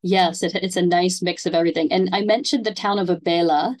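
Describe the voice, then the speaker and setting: A woman's voice: fairly low pitch, steady tone, brisk. A woman hosting a conversational interview, speaking informally and explaining things. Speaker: interview host.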